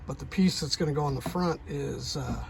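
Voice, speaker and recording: A middle-aged man talking through a DIY job in a casual, halting, plain-spoken way, thinking out loud. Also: fairly high pitch, vocal fry, a little hiss or hum. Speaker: truck driver, DIY vlogger.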